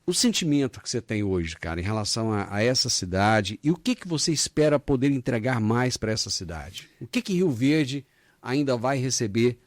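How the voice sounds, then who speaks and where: A man's voice: medium pitch, big pitch swings, moderate pace, no echo, studio quality. A man hosting an interview, talking in a friendly, chatty way and calling his guest "cara". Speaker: podcast host, interviewer. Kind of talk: casual interview conversation.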